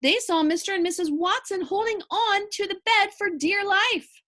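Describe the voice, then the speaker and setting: A woman reading a children's book aloud in an animated, deliberate storybook delivery. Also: very high voice.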